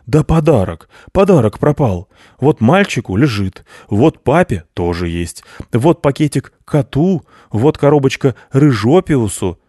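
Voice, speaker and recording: A man's voice: mid-range voice, very animated, a little slow, few pauses, mellow, no echo, clean studio sound, voice pushed up. A man voicing a forgetful old Father Frost in a storybook, fretting over a lost gift. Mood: flustered.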